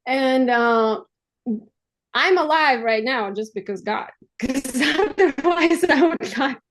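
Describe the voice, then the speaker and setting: A woman's voice: high-pitched, expressive, a little hiss or hum. Woman, earnest. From Armenia, raised in Russia. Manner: earnest, halting, breaks into light laughter. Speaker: guest. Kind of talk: personal testimony in a conversation.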